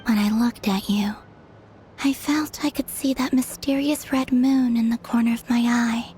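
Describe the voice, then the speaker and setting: An actress playing a soft-spoken young woman with a hushed, breathy, gentle delivery in a video game. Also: on the high side, fairly even intonation, measured pace, faint music behind, close to an everyday voice.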